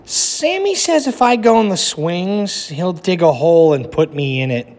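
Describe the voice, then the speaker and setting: A man's voice: high-pitched, lively intonation, unhurried, a little mellow. A man reading a storybook aloud, voicing a timid but plucky young boy in an earnest, exaggerated child voice. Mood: worried. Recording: echoey room with long reverb.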